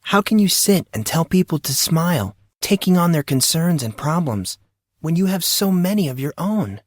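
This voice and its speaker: Man doing a high-pitched, wounded teenage girl. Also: very animated, normal speed, no echo, studio quality. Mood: accusing. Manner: pleading, questioning. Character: wounded, demanding teenage girl, guarded and emotional.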